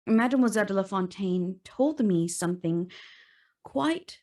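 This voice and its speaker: An actress playing a solemn young gentlewoman narrating an ordeal in a radio drama, measured, formal and restrained. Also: medium pitch, lively intonation, close to an everyday voice. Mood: somber recounting.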